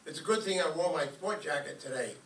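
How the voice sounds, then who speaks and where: Guy doing eager goofy teen boy, old radio sitcom, high voice, echoey far off mic. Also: lively intonation, quick pace, fairly bright tone. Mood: eager, cheerful. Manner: gushing, boyish, folksy slang. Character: eager, naive teenage schoolboy who loves animals. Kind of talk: old-time radio comedy acting before an audience. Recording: roomy, distant microphone, faint noise.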